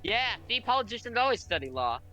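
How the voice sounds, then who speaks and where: A man's voice: extremely high pitch, big pitch swings, fast talker, sharp tone, faint music behind. A man chatting informally with friends during a game, bantering and swearing. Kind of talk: casual chat among friends during a game.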